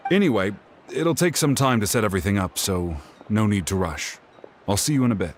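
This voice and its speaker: Low-voiced, world-weary man acting a game tribesman. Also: lively intonation, fairly quick, a little hiss or hum, natural voice. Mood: resigned. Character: defeated, intense male tribesman crafting a spirit net.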